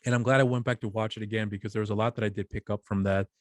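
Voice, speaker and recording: A man's voice: lowish voice, fairly even intonation, rapid-fire, no echo. A man hosting an interview, asking long rambling questions full of restarts and fillers. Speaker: podcast host. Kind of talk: casual interview chat.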